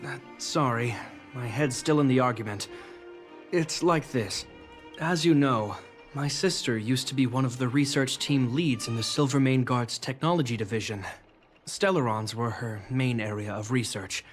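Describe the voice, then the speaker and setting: An actor playing a dutiful, worried military officer in a video game, speaking in a formal, measured way. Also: male voice, medium pitch, faint music behind, lightly acted. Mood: worried, reflective.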